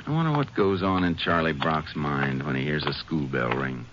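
Deep-voiced, laconic Texas lawman. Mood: calm, pensive. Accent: American. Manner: laconic, measured, understated. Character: calm, methodical Texas Ranger lawman, leading the hunt. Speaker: lead actor and narrator. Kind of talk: 1950s radio drama acting. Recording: noisy, muffled old radio broadcast recording.

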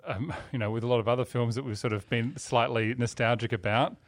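A man's voice: medium pitch, fairly even intonation, a little fast, no echo. A man hosting a film review discussion in a casual, conversational style. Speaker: film review podcast host. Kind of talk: casual chat.

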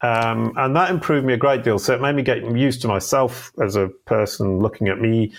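A man's voice: medium pitch, moderate pace, vocal fry. A man speaking as a guest in a casual interview, self-deprecating and chatty, often restarting his sentences. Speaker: guest on a live video show.

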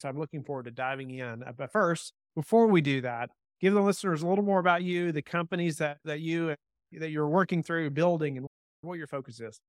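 A male podcast host with a smooth, resonant voice set a touch high for a man. He speaks in an upbeat way, stacking questions and tagging on "right?". The recording is close and dry. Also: a little fast, dry recording. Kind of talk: podcast interview.

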